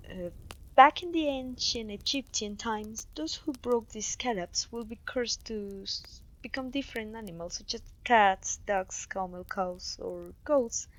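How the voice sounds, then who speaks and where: A woman voice acting a clumsy, kindly, apologetic witch in an ASMR fantasy roleplay, with a gentle, polite, slightly old-fashioned way of talking. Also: a little high, dry recording, poor audio. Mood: alarmed, anxious.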